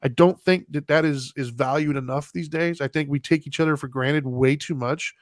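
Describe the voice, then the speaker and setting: A man's voice: a little high, fairly even intonation, normal speed, no room sound, clean studio sound. A man with an American accent hosting a podcast in casual, opinionated chat, informal and plain-spoken. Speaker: podcast host.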